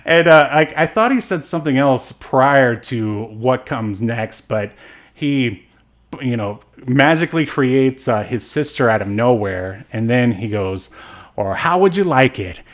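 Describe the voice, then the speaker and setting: A man's voice: mid-range voice, expressive, measured pace. A man co-hosting a podcast, chatting casually about film in an informal, rambling way that comes with ready laughter. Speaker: podcast co-host. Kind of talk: casual film discussion chat.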